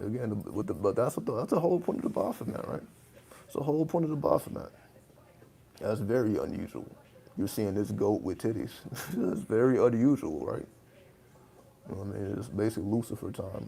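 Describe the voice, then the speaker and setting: A man with a deep voice talking in a laid-back, casual monologue, loose with slang and swearing. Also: creaky, dark tone, husky. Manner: laid-back, profane, streetwise slang, drawn-out phrasing. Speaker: channel host.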